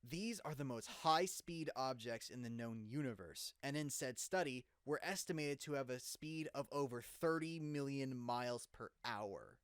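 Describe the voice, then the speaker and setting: A man's voice: mid-range voice, moderate pace, few pauses, clean tone, dry recording, clean studio sound, natural voice. A man playing an incredulous, urgent radio news host in a dramatized live broadcast. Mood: serious, briefing.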